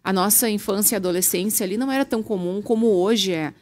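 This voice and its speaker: A woman dermatologist from southern Brazil, guesting on a radio show and calmly explaining skin care. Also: mid-range voice, quick pace. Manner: calm, explanatory. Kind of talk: radio interview. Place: radio studio.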